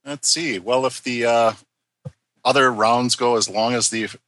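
A man's voice: mid-range voice, fairly even intonation, a little slow, a bit bright, a little hiss or hum. A man on a podcast call who speaks politely and hesitantly, with many fillers. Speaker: podcast guest. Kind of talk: casual chat.

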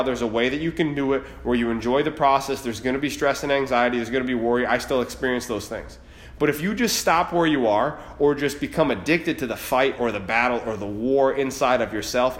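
A man in his late thirties hosting a podcast, giving a blunt, fired-up motivational monologue full of swearing. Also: mid-range voice. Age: 30s. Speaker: podcast host. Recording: roomy echo.

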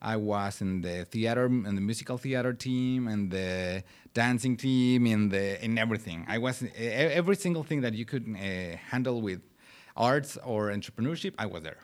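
This is a young man from Mexico speaking English with a Mexican accent, Spanish being his first language. His voice sits a little low. He tells his story as an interview guest in an animated, eager way, often restarting his sentences. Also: moderate pace. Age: young adult. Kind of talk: casual interview chat.

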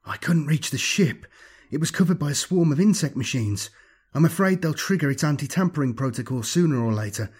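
A man's voice: fairly high pitch, expressive, fairly quick, darkish tone, breathy edge, voice pushed up. A man voicing an anxious, earnest male acolyte, hesitant and deferential, in a sci-fi audiobook.

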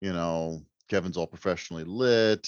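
A man's voice: low voice, moderate pace, clean tone. A man chatting casually as a guest author on a podcast, wordy, self-deprecating and joking. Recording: roomy, slightly dull microphone sound.

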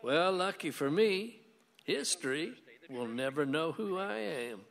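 Stubborn higher-voiced gun owner, echoing hall. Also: male voice, animated, measured pace, gravelly, a bit bright, full character voice. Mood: defiant. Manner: combative, folksy, dry. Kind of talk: radio play dialogue. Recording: long hall-like reverb.

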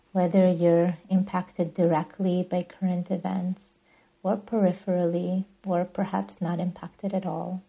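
A woman guiding a meditation as a podcast host, speaking gently and deliberately in a soothing, breathy voice. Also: lowish voice, muffled recording. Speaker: yoga nidra guide and podcast host. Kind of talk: guided meditation narration.

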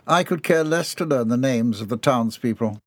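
A man's voice: medium pitch, expressive, normal speed, no room sound, lightly acted. A man voicing a prim, haughty butler. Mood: aloof. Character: prim, aloof male butler.

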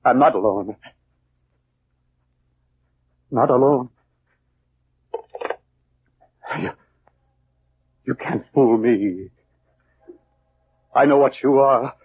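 Desperate wife killer, vintage radio melodrama; rough-edged, halting, repetitive, very narrow tinny sound. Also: male voice, mid-range voice. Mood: haunted, defiant. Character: desperate, guilt-crazed husband turned wife killer. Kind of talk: 1940s radio acting.

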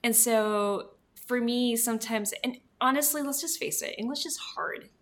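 Bright-voiced woman, first language spanish, co-hosting a library show, talks loose and chatty. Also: on the high side, moderate pace. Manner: chatty, many fillers like "like" and "you know". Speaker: library podcast co-host. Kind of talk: casual chat.

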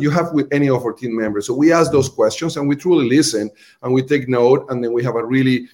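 Man, Colombian accent, middle pitch, earnest, talkative, fillers and restarts. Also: a little mellow. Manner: earnest, with frequent fillers and repeated words. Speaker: restaurant brand marketing executive. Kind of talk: interview guest, conversational explanation.

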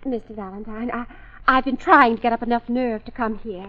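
A woman's voice: on the high side, fairly even intonation, fairly quick, close to an everyday voice. A woman playing a flustered, genteel politician's wife in a 1940s radio drama, hesitant and melodramatic. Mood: anxious, humiliated. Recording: dull lo-fi 1940s radio recording.

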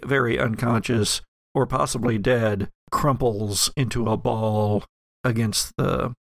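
A man running a horror tabletop game as game master, improvising narration in halting, deliberate phrases. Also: medium pitch, gravelly, fairly bright tone, dry recording.

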